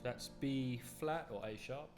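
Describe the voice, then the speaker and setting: A man's voice: medium pitch, slow. A man teaching a casual piano tutorial, explaining chords and counting beats aloud, with a slight cold coming on. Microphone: USB studio microphone. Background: piano playing.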